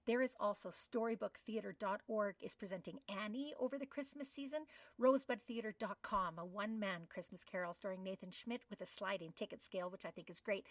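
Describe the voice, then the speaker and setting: A woman's voice: medium pitch, a bit bright, no room sound, recorded from a distance. A middle-aged woman co-hosting a podcast in an effusive, casual, chatty way. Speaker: podcast co-host.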